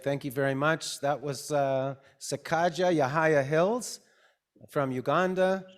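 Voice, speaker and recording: Man, panel facilitator, fairly high voice, restates answers, conference workshop. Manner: warm, restates answers to check understanding. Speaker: session facilitator. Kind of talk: hosting a conference workshop session.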